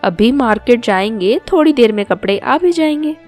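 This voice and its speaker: A woman's voice: a little high, animated, normal speed, darkish tone. A woman puts on a young daughter-in-law from a storybook tale. She sounds sweet, obliging and eager to please. Light music runs underneath. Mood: coaxing. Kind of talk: storybook cartoon dialogue.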